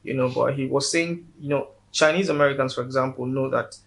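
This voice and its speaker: A man's voice: mid-range voice, fairly even intonation, fairly quick, vocal fry, fairly bright tone, a little hiss or hum. A man with a Nigerian accent, a guest in a casual interview, speaking earnestly and often repeating words as he builds his point.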